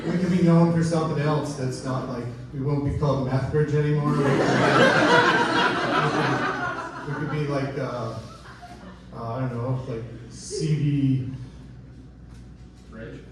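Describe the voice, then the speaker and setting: Male Canadian stand-up comic, conversational and halting, caught from afar in a very reverberant room. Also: medium pitch, animated. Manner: halting, conversational delivery with tag questions. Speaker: stand-up comedian. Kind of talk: live stand-up comedy set. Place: indoor live comedy show, recorded from off stage. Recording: echoey live room, distant mic, heavy noise.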